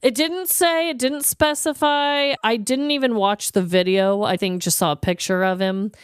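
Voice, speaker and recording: A woman's voice: on the high side, steady tone, normal speed, clean tone, dry recording. A woman co-hosting a comedy podcast, chatting casually in a playful, slangy, giggly manner. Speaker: podcast co-host.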